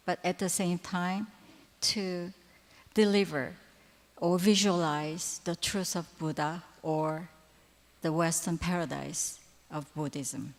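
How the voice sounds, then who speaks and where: A woman giving a lecture at a conference, pausing often with fillers and correcting herself. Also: fairly low pitch. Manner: hesitant, frequent fillers and self-corrections. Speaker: conference speaker. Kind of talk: lecture presentation. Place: large conference hall. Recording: reverberant hall sound.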